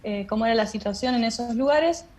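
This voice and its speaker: A woman's voice: on the high side, a little fast, a little mellow. A woman with an Argentine accent explaining things as a guest in an interview. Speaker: interview guest, theatre director and drama teacher.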